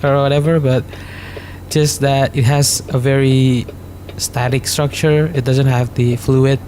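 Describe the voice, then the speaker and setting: Young guy w/ a non-native english accent, lots of ums and restarts, truck game rumbling behind him. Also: flat delivery, unhurried, dark tone, a little hiss or hum. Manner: rambling, many fillers and restarts. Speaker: gaming channel host. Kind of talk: casual gameplay commentary.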